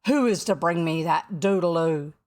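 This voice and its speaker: A woman's voice: lowish voice, expressive, slow pace, gravelly, dry recording, heavily acted. A woman voicing a villainous male pirate captain in a storybook, theatrical and menacing, with mock courtesy. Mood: thundering anger. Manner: purring, steely threats and thundering outbursts.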